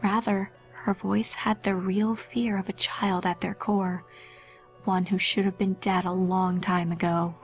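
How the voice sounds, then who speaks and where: Female narrator for a horror fan fiction reading: mid-pitched, very even delivery, faint music underneath. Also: measured pace.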